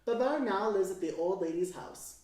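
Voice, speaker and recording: A woman's voice: medium pitch, expressive, normal speed, mellow. Very echoey, distant storybook narrator, a woman. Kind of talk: expressive children's read-aloud narration. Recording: very echoey room, distant mic, music. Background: piano music.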